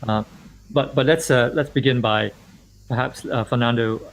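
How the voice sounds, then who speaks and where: A man's voice: medium pitch, steady tone, moderate pace, low-quality recording. Malaysian-born man hosting an interview. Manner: formal, with frequent fillers and restarts. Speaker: host and interviewer. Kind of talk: scripted introduction then interview.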